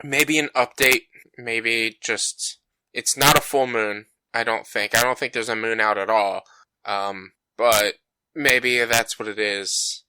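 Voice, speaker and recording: A man's voice: steady tone, takes it slowly, bright, forceful tone, smooth, dry recording, rough recording. A man co-hosting a casual gaming podcast, talking in a rambling, self-deprecating way with plenty of fillers. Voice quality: bassy voice. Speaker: podcast co-host. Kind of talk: casual chat. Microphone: home podcast mic.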